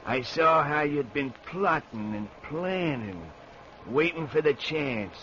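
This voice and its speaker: A man's voice: a little high, animated, slow, sharp tone, heavily acted. An actor playing a vengeful drowned man back from the river, with a menacing rural drawl, in vintage radio horror style. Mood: accusing, eerie. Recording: muffled, noisy old radio recording.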